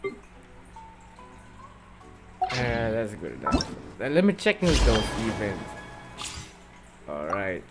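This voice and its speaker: Casual gaming man over loud music. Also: on the low side, wildly swooping pitch, slow pace, pauses often. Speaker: gameplay video host. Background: loud game music.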